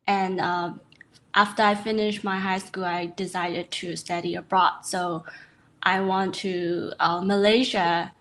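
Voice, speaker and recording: A young woman from Myanmar, a scientist answering interview questions in a webinar, pausing often with fillers. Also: lowish voice, level tone, a bit bright, slight background noise. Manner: hesitant, many fillers. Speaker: guest scientist.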